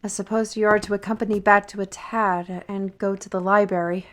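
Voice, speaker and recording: A woman's voice: medium pitch, level tone, a little fast, a bit bright, natural voice. A woman playing a wry, weary fantasy artist-steward, talking directly to the listener in a scripted audio roleplay. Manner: wry, sighing, conversational, addressing the listener.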